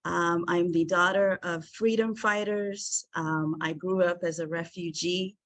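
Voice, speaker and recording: A woman's voice: on the low side, fairly even intonation, a little slow, dry recording. A woman from South Africa giving an earnest presentation over a video call, with frequent ums and uhs. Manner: warm, earnest, many filler ums. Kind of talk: presentation to an online group.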